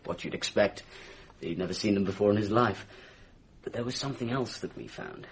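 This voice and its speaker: An actor playing a self-satisfied English police inspector in a radio mystery, brisk and condescending in manner. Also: male voice, on the low side, slight background noise, natural voice, poor audio. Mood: matter-of-fact. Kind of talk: radio mystery drama acting.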